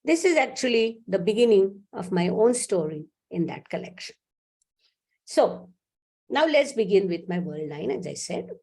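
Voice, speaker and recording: Husky, mellow-voiced woman in her seventies. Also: medium pitch, moderate pace. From India. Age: 70s. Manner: lively, explanatory, restarts sentences. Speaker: physicist giving a lecture. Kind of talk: academic talk with slides.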